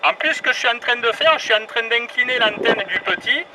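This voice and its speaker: Male radio hobbyist, high voice, informal and jovial delivery, recorded outdoors amid traffic noise. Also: lively intonation, fairly quick. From France. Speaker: amateur radio operator filming a test. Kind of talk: casual radio chat.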